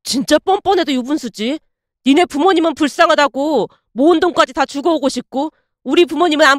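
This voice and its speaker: Woman acting exasperated young fiancé, animated and scolding. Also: sits high, dry recording, clean studio sound. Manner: blunt, scolding, disbelieving. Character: exasperated, indignant young man arguing with his fiancée.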